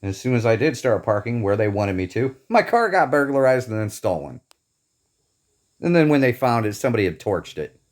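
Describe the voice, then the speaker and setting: A man's voice: medium pitch, very animated, fairly quick. A man from Indiana delivering a bitter, profane, emphatic rant about his grievances. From Northeast Indiana, USA. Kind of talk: angry personal rant to camera.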